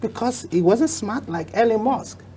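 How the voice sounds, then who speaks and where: Animated emphatic talk show guest. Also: mid-range voice, unhurried, slight background noise. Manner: emphatic storyteller who repeats phrases for effect. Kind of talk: motivational talk show conversation.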